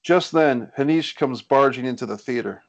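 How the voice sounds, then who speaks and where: Mid-pitched man reading stage directions. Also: moderate pace, dull audio. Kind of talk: scripted narration. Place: indoors on a group video call.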